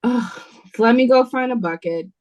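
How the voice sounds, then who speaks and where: A woman's voice: on the high side, moderate pace, darkish tone, close to an everyday voice. A woman playing a bossy, impatient, sarcastic waitress in an audio play read-through over a video call. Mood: impatient, probing.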